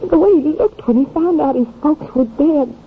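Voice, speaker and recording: Actress as a tinny-sounding, shaken farm wife. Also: female voice, high voice, moderate pace, natural voice. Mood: shaken, faint. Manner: earnest, faltering, plain-spoken. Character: shaken rural farm wife, earnest witness. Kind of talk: old-time radio drama acting. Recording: tinny, hissy old radio recording.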